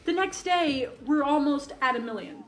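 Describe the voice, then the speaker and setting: A woman co-hosting a casual podcast, telling anecdotes in an animated way and often interrupting herself. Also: sits high, moderate pace. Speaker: podcast co-host. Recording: roomy echo, music playing behind.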